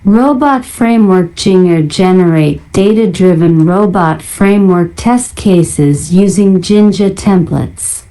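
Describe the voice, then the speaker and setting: A woman narrator doing a deliberate ASMR-style read-out of listed items in a flat manner. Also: on the low side, low-quality recording. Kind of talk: ASMR reading of a list of software libraries.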